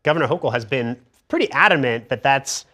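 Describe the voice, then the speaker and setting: A man's voice: medium pitch, animated, a little fast, sharp tone. A man anchoring a TV news program, introducing a guest and asking probing questions in a conversational way. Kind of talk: TV news interview.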